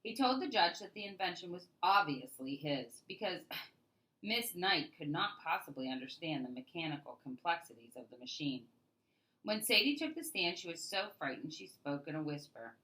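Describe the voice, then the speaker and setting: A woman with a low voice and a bright, forceful edge reads a children's storybook aloud. Her narration is expressive and scripted, with emphatic openings to phrases that fall away at the end. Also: moderate pace, poor audio.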